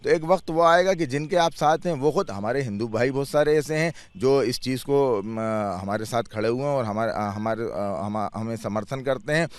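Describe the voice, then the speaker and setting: A man's voice: mid-range voice, moderate pace, few pauses. A man chairing a clerics' board answers interview questions earnestly, restarting words and repeating phrases.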